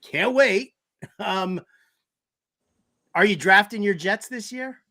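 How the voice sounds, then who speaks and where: A man's voice: sits high, takes it slowly, bright, forceful tone. A man hosting a sports talk show with slangy, jokey, informal banter and interview questions. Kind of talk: casual comedic banter and interviewing.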